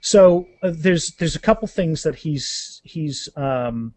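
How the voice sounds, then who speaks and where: A man with a fairly high-pitched voice talks in an enthusiastic, chatty way. He sounds like an author being interviewed on a podcast, casual and conversational. The sound is dry, with little room around it. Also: animated, slow pace, a little mellow, no room sound.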